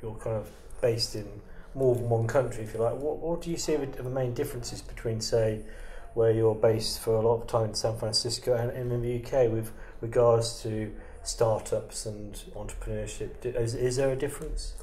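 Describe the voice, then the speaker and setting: A man's voice: mid-range voice, fairly even intonation, normal speed, few pauses, a little mellow, echoey room, slight background noise, low-quality recording. A man interviewing a guest, building long questions full of "um" and "I mean". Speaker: interviewer, editor for an IT institute.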